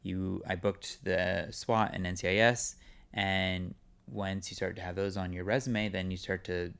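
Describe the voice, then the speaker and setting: A young man from California chats in a relaxed, meandering way, full of "um" and "like". His voice is smooth and clear, sitting a little low. He is a guest actor on an interview podcast. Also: unhurried. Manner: relaxed, rambling with many fillers. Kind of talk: casual interview chat.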